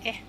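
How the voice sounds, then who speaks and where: A woman livestreaming a game, chatting in a loose, rambling way with a hoarse voice and easy laughs. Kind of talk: casual rambling chat while gaming. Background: upbeat game music.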